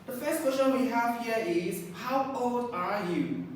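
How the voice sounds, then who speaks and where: A man teaching an English lesson to children, slow and patient, repeating words and spelling out capital letters. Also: very high voice. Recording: echoey room, far from mic, faint noise.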